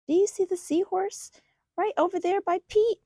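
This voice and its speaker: A woman's voice: very high voice, steady tone, moderate pace, dark tone, no room sound, clean studio sound. A woman hosting a children's storytime, reading a picture book aloud expressively and putting questions to young listeners. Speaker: children's storytime host and reader.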